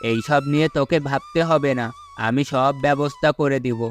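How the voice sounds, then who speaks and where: A man's voice: mid-range voice, moderate pace, natural voice. A man playing a sly, well-off village farmer in a cartoon folk tale, persuasive and condescending as he feigns kindness. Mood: bossy, coaxing. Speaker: cartoon voice actor. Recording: music under the voice.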